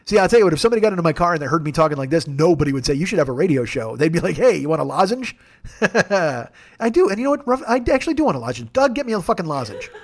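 A man in his forties hosting a podcast alone, talking in a rambling, jokey, self-deprecating way with a gravelly voice. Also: high voice, a bit bright. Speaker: podcast host. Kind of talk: casual solo monologue.

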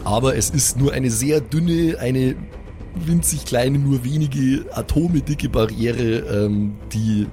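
A man's voice: mid-range voice, slow pace, mellow, soft background music. A man improvising vivid, mock-dramatic narration as the game master of a tabletop role-playing game.

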